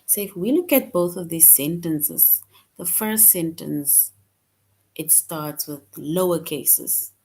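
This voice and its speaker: A woman with a low voice teaches a children's lesson on punctuation. She speaks slowly and patiently, reading each answer option aloud before picking the right one. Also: warm tone, breathy edge. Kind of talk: online grammar lesson for children.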